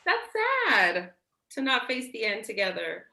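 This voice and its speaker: A woman's voice: mid-range voice, animated, a little slow, creaky, sharp tone, heavily acted. A woman acting a blunt, teasing, protective young woman in a staged play reading, with casual, sweary, sardonic delivery. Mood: wry. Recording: roomy, echoey sound.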